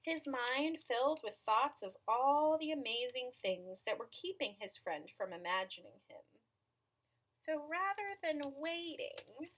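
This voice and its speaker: Lady doing a kids read-aloud, really lively up and down delivery, breathy bright voice, talks to the kids. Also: high voice, measured pace, rough recording. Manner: animated, dramatic phrasing for young listeners. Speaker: storybook reader. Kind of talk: expressive children's picture-book read-aloud with asides.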